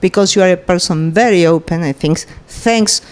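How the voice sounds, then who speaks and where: Lower-voiced woman, halting English. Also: a little mellow, clear, resonant tone. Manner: halting, searching for English words. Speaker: interviewer. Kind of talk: interview questions.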